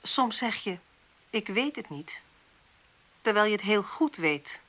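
An actress in a radio drama playing a brisk female official who questions people curtly. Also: mid-range voice, expressive, fairly bright tone. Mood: probing. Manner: probing, curt.

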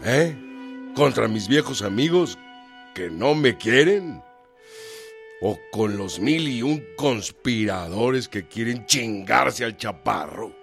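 An actor playing a proud, embittered Mexican revolutionary in a radio drama, blunt and defiant. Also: male voice, medium pitch, animated, slow pace, vocal fry, faint music behind. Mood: bitter, agitated.

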